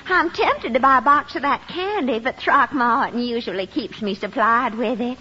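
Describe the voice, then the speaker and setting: An actress playing a genteel, flirtatious belle in a 1950s radio sitcom. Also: female voice, fairly high pitch, moderate pace, sharp tone. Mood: coquettish. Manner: gushing, coquettish, drawling. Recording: dull 1950s radio recording with faint hiss.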